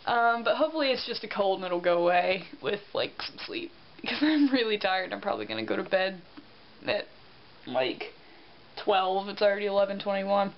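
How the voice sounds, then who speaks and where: A woman's voice: medium pitch, sing-song, normal speed. A young woman in casual vlog chat, rambling and self-deprecating, tired and congested with a sore throat. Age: young adult. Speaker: vlogger. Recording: dull lo-fi sound with faint hiss.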